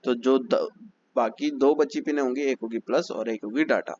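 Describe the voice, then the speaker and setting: A man explains a repair procedure one step at a time, repeating each instruction plainly. His voice sits at an ordinary middle pitch. The recording is a little dull and carries a faint hiss. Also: normal speed, darkish tone. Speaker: phone repair teacher. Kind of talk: step-by-step technical lesson.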